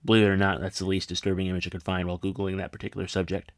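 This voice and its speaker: A man's voice: low voice, monotone, rapid-fire, slight background noise. A man in his thirties delivering scripted video essay narration in a wry, sarcastic way. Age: 30s. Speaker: film review channel host. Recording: music behind the voice. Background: background music.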